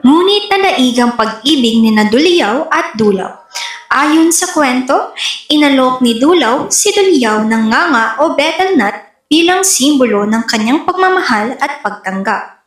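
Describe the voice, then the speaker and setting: A woman's voice: sits high, lively intonation, a little slow. A woman with a Filipino accent reading scripted narration for a folk epic dramatization. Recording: echoey room.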